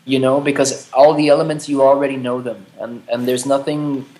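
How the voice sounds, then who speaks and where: A man in his late thirties speaks in a mid-pitched voice, halting and reflective, often circling back and restarting his sentences. He swears casually as he talks. The recording carries a noticeable room echo. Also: level tone, mellow. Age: 30s. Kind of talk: candid conversation. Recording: roomy echo.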